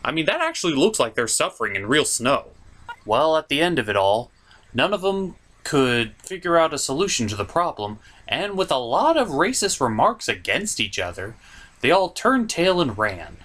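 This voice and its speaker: A man acts out a theatrical gentleman puppet with a raised, swooping voice. He delivers scripted comic commentary as a review show host, exclaiming and easily exasperated. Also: fairly high pitch, big pitch swings, moderate pace. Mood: wry recapping.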